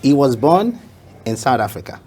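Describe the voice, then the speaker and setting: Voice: mid-range voice, very animated, normal speed, darkish tone, slight background noise. A talk show guest telling an emphatic story, often repeating phrases for effect. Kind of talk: motivational talk show conversation.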